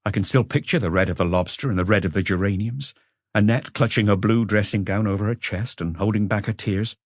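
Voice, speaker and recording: A man's voice: lowish voice, brisk, dry recording, clean studio sound, close to an everyday voice. A man voicing a troubled man under questioning, earnest and hesitant, in an audiobook. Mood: dismayed.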